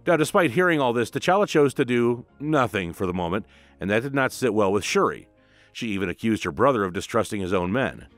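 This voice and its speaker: A man's voice: on the low side, big pitch swings, a little fast, no room sound, soft background music. A man narrating a comic book recap as host, mixing scripted storytelling with casual commentary.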